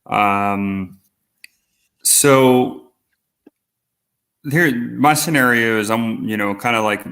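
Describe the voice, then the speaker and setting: A man's voice: medium pitch, very slow, fairly bright tone. A man in his mid-thirties calling in to a livestream with a crude, hesitant question, padded with fillers. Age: 30s. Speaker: caller. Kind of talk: casual call-in question.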